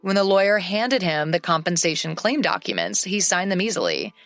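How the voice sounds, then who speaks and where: A woman narrating a first-person story in a steady, matter-of-fact way. Her voice sits low for a woman. The recording is dry and close, with faint music under it. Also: fairly quick, dry recording. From English-speaking country.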